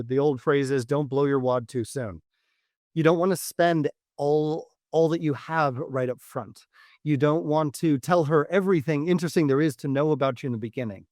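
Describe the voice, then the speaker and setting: Male podcast coach, mid-pitched, deliberate and measured instructional delivery, close dry sound. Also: darkish tone, dry recording. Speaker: dating coach and podcast host. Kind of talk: instructional podcast monologue to camera.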